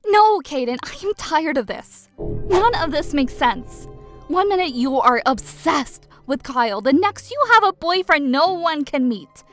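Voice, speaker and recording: A woman's voice: high voice, expressive, a little slow, soft background music. A woman acting a nosy, blunt young best friend in a game roleplay drama, with pointed, dramatic questioning. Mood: exasperated.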